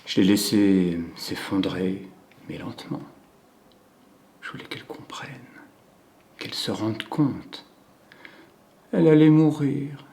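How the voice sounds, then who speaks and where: A man performing a theatrical monologue as a cold, controlling psychopathic killer who savors his confession in a hushed, deliberate way. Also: fairly low pitch, expressive, vocal fry, slight background noise, heavily acted. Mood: savoring, sinister. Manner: slow, hushed, deliberate delivery with drawn-out pauses. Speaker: acting student performing a monologue.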